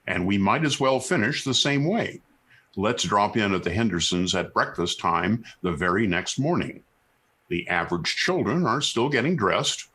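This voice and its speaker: A man's voice: lowish voice, bright, forceful tone, husky. An American man reading scripted announcements in a 1940s radio style. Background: music.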